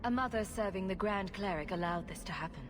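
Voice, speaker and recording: An actress playing a confident, sardonic young adventurer in a video game, speaking in a dry, direct way. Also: female voice, medium pitch, fast talker, lightly acted. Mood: serious, dry. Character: wry, confident young female adventurer hero. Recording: loud ambient noise behind.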